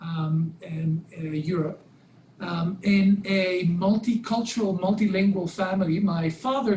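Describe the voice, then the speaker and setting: A man's voice: high voice, reverberant, slight background noise, poor audio. A man in his forties from Belgium, whose first language is Dutch, giving a talk on a video call with many fillers and restarts. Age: 40s. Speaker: guest speaker, hotel company president.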